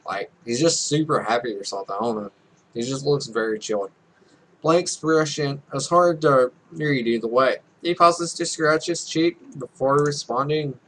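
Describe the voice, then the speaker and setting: A man's voice: mid-range voice, normal speed, a bit bright, a little hiss or hum, poor audio. A young man hosting a let's play, reading game text aloud with casual, irreverent, sweary asides. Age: young adult.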